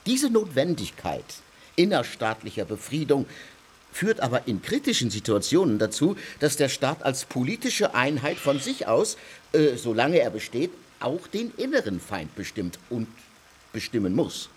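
Pompous, animated legal theorist. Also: male voice, medium pitch, moderate pace, slightly rough. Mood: lecturing, emphatic. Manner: lecturing, emphatic, pompous. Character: pedantic, self-assured legal theorist lecturing his peers. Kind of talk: German radio drama acting.

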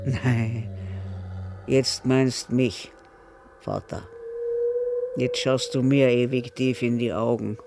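An actor playing an old dying man haunted by his dead family, brooding and halting, in a poetic radio drama. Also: medium pitch, level tone, heavy background noise.

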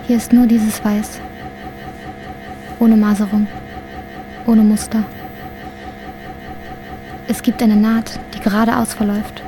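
A woman's voice: mid-range voice, flat delivery, slow, pauses often, music in the background. A woman narrating a radio drama as a detached storyteller, sober and literary in manner. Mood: detached, ominous. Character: detached female narrator of an unsettling hospital story.

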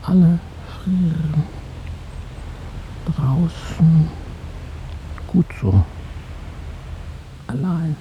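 An older actor in a radio drama playing a weary, stubborn old psychiatric patient who speaks in terse, brooding fragments. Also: male voice, on the high side, extremely slow, long pauses, highs cut off, a little hiss or hum. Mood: agitated, defiant. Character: weary, stubborn old male psychiatric patient, former East German dissident.

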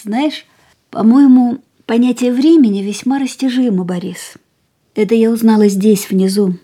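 An actress playing a frail, breathy young woman. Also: on the high side, moderate pace, natural voice. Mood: quiet, resolute. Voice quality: breathy, often whispered. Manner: quiet, tired, resolute. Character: frail, gravely ill young woman, stubborn, wistful. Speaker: actor in a radio play. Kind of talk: studio radio drama acting.

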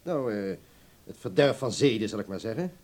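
A man's voice: fairly low pitch, expressive, normal speed, darkish tone. An actor playing a stuffy, middle-aged bourgeois uncle in a radio drama, pompous and blustering in his disapproval. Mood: disapproving. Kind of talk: Dutch radio drama acting.

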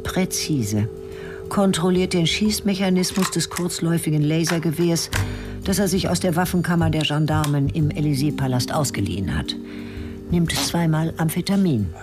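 A woman's voice: sits low, expressive, normal speed, over music. A woman narrating a radio crime drama in terse, clipped sentences.

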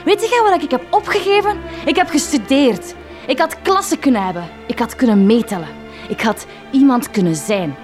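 An actress playing a bitter, long-suffering village schoolteacher wife with a Flemish accent, sarcastic and resentful in a radio drama. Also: female voice, high voice, expressive, normal speed, a little mellow, soft background music. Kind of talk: theatrical radio drama acting.

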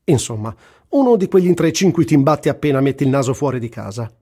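A man reads a first-person travel diary as scripted radio theatre, in a measured storytelling manner. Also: medium pitch, animated, mellow, slightly rough. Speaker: reader in a radio theatre production. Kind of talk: first-person literary narration over music.

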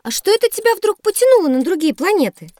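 Very high-pitched curious girl. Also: quick pace, no room sound. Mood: teasing. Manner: lively, expressive. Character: curious, teasing young girl, keen traveller. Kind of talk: scripted children's educational audio drama.

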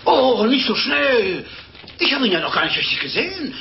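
An actor playing an ironic, smirking traveller who feigns devotion in a radio play. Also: male voice, very high voice, very animated, moderate pace, heavily acted. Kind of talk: German radio play acting. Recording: dull, noisy old radio recording.

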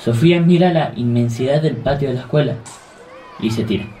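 A man's voice: mid-range voice, a little fast, warm tone, a faint crowd, a little hiss or hum, rough recording. A man with an Argentine accent solemnly narrating a scripted radio drama.

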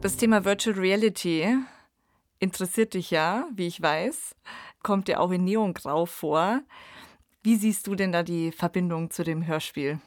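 Woman podcast host, low and dry. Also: a little slow, dry recording. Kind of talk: conversational discussion.

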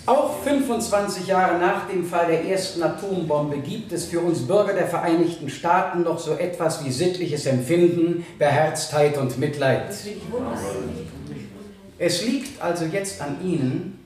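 An actor in a radio play voicing a stern, impassioned male prosecutor who addresses a jury in formal, emphatic oratory with deliberate pauses. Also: fairly high pitch, a little mellow. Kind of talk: 1970 German radio play, courtroom oratory. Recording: echoey courtroom sound, distant from mic.

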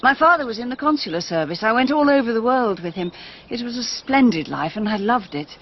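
Aloof, restless British gentlewoman played by a woman. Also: on the high side, lively intonation, lightly acted. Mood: wistful. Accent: British English. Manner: measured, dramatic, guarded. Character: aloof, restless, unhappily married English-Russian gentlewoman. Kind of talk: radio drama acting. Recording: muffled radio recording with noise, birdsong.

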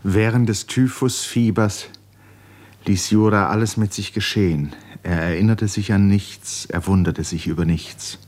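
A man narrating a literary radio play in a measured, dramatic manner with deliberate pauses. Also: fairly low pitch, steady tone, darkish tone.

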